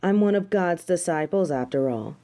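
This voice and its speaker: A woman acting a haughty, mellow-toned fallen angel. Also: on the low side, animated, normal speed, natural voice. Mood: haughty, irritated. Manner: haughty, theatrical, disdainful. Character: haughty fallen female angel, indignant, snobbish tsundere. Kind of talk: dramatic audio roleplay acting.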